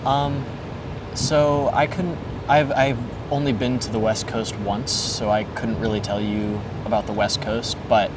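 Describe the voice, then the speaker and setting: A man in his twenties from the United States, answering interview questions outdoors in a hesitant, thoughtful way with many pauses and ums. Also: mid-range voice, flat delivery. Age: 20s. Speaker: interview guest. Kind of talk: casual street interview. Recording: distant mic, loud traffic noise.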